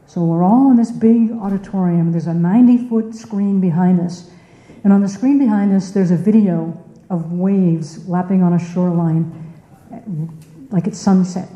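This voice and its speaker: A woman's voice: on the low side, fairly even intonation, normal speed, mellow. A woman giving an informal speech to a club in a meeting room, conversational, putting questions to listeners and repeating words for emphasis, with echoey, muffled sound. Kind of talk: informal presentation to a club audience.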